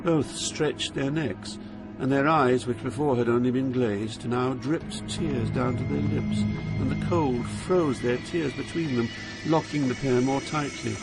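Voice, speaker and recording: A man giving a scripted dramatic narration as storyteller, solemn and theatrical, with measured phrasing. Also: medium pitch, over loud music, low-quality recording.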